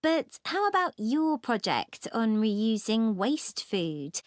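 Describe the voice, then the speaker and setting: A woman's voice: fairly high pitch, big pitch swings, slow pace, no room sound, studio quality. A woman playing an interested, supportive young food science student in a scripted discussion.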